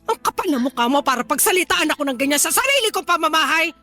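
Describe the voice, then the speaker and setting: A hostile, old-fashioned mother in a radio drama. Her voice is very high and piercingly bright, full of scornful, indignant accusation, with faint music behind. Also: animated, fast talker. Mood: suspicious, scornful. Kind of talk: Tagalog radio drama acting.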